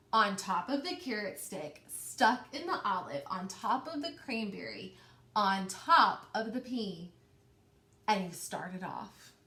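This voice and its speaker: A woman's voice: high-pitched, sharp tone. A woman reading a storybook aloud to children like a teacher, expressively stretching key words and asking questions. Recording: roomy, echoing indoor sound.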